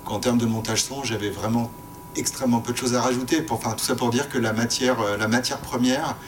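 A man speaking as a sound editor on a panel, informal and halting, often restarting his phrases. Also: fairly even intonation. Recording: background noise with low rumble.

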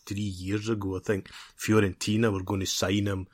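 Low-voiced man, discursive. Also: flat delivery, moderate pace. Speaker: football podcast host. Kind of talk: casual football discussion.

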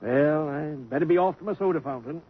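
A man's voice: a little high, husky. An actor playing a cheeky, folksy old grandfather who runs a soda fountain, in a 1950s radio drama. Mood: wry. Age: older. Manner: wry, folksy, quick comebacks. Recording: dull old radio broadcast, faint hiss.

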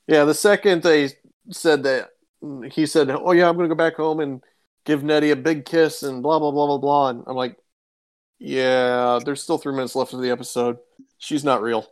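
A man's voice: fairly high pitch, fairly even intonation, normal speed. A man co-hosting a podcast in casual conversation. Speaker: podcast co-host. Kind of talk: casual chat.

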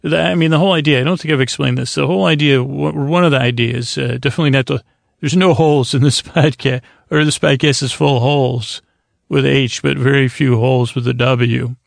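A man hosting a bedtime podcast in a soothing, lulling tone, meandering and halting as he repeats himself and trails off mid-sentence. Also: mid-range voice, fairly even intonation, gravelly, no echo, clean studio sound. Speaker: podcast host. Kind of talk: rambling bedtime monologue to help listeners sleep.

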